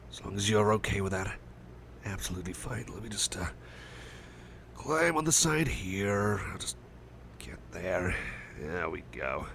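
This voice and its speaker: A man playing a shy, caring, anxious friend in an intimate ASMR roleplay, speaking softly and hesitantly with stammers and gentle, murmured rambling. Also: on the low side, gravelly, a little hiss or hum, exaggerated voice. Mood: shy, affectionate, then sleepy, caring.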